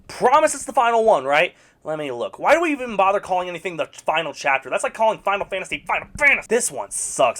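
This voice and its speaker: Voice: medium pitch, a bit bright. A movie reviewer giving a casual, opinionated critique, sarcastic and blunt, with occasional swearing.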